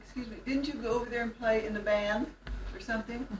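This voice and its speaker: Brief: older grandmother, warm teasing interjections, faint background noise, roomy distant sound. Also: on the high side, steady tone, quick pace. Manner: affectionate, teasing, chiming in. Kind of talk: casual home video message. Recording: echoey room, off-mic, faint noise.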